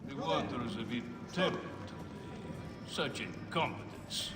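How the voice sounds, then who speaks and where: An actor playing an arrogant, contemptuous noble villain in a video game, with a haughty, scornful delivery. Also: male voice, mid-range voice, normal speed, natural voice. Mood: disdainful. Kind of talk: dramatic video game acting. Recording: echoing hall under loud music.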